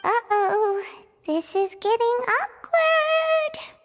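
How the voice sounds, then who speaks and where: Extremely squeaky little fairy. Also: female voice, animated, extremely slow, exaggerated voice. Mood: awkward, uneasy. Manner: squeaky, exclamatory. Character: tiny floating fairy companion, childlike, chirpy, blunt. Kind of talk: video game voice acting. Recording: long hall reverb, loud playful music behind.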